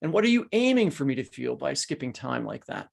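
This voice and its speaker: A man's voice: mid-range voice, big pitch swings, a little fast, creaky. A man in his fifties hosting a conversational literary interview, rephrasing as he goes. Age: 50s. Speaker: podcast host interviewing an author.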